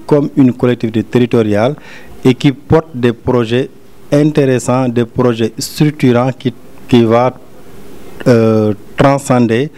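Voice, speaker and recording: A man's voice: medium pitch, mellow. A man with a Senegalese French accent giving a formal, explanatory statement as an agency director in a meeting room. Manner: explanatory, official phrasing.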